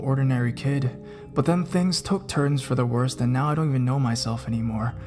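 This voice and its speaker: A man calmly narrating reader-submitted ghost stories in a measured, scripted way over guitar music. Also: medium pitch. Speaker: narrator of a ghost story channel.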